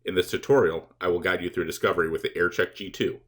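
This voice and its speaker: A man reading scripted instructional narration as a tutorial presenter, explaining things in a measured, step-by-step way. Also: sits low, steady tone, fairly bright tone.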